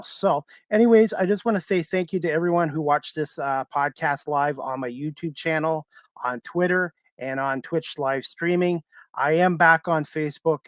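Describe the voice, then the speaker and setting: A man from Canada hosting a podcast, talking casually and haltingly to his livestream viewers in a rambling way. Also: mid-range voice, no echo. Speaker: podcast host.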